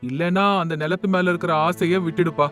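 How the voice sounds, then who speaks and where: Casting: man to play formal, unbending village moneylender in animated tale, higher-pitched voice, faint music bed. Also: brisk, close to an everyday voice. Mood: firm. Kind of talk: animated moral story dialogue. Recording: music under the voice.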